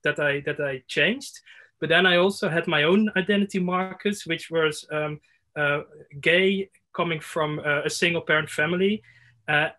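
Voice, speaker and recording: A man's voice: on the high side, sharp tone. A man in his thirties sharing a reflection at an online workshop, hesitant with many fillers and restarts, speaking over a laptop video call from a room at home. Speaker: workshop participant. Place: home room with window blinds.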